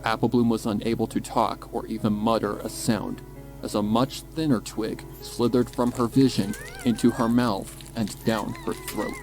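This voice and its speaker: A man reading a dark horror story as a dramatic scripted narration. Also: mid-range voice, wildly swooping pitch, normal speed, low-quality recording. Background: music.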